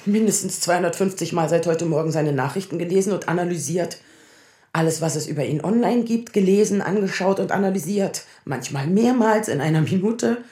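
A woman playing a sharp-tongued, anxious lawyer in her thirties in a naturalistic radio drama, sarcastic and defensive. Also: fairly low pitch, roomy sound, lightly acted. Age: 30s.

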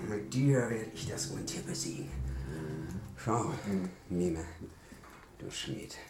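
A man's voice: fairly low pitch, lively intonation, takes it slowly, recorded from a distance. An actor playing a brash, fearless young male hero, declaiming cockily in a fantasy radio drama. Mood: exultant. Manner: declamatory, cocky, exultant. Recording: roomy, off-mic, music underneath.

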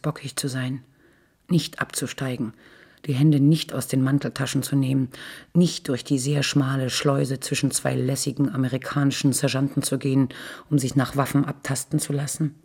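A woman reading literary prose for radio in measured, terse phrases. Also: very deep voice, a little mellow, husky.

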